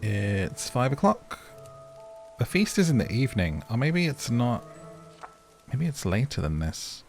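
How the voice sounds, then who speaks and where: A man's voice: mid-range voice, very animated, a little slow. A British man giving casual, chatty gameplay commentary, playfully talking to the characters as he goes. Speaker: gaming video host. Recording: music under the voice.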